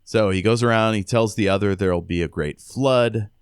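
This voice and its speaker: A man with a low, clean, resonant voice co-hosts a book podcast. He recaps the story casually, paraphrasing and raising questions. The sound is dry and free of room echo. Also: moderate pace, smooth. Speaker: podcast co-host recapping a novel. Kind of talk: casual chat with read-out recaps.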